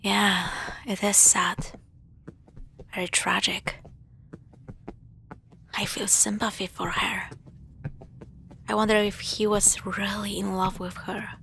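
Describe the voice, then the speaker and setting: Faint noise and ambience sit behind a woman playing a young girlfriend in a spooky audio roleplay. She takes her time with the words. Her delivery is close and affectionate, moving between teasing sarcasm and hushed asides. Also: mid-range voice, little pitch movement, takes it slowly, gravelly, pauses often, full character voice. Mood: hushed storytelling. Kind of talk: intimate scripted audio roleplay.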